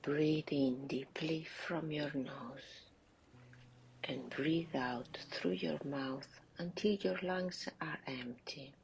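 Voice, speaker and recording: A woman guides a meditative visualisation in a low, hushed voice with a creaky grain. She speaks extremely slowly and deliberately, leaving long breathy pauses between short phrases. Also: a little hiss or hum, low-quality recording.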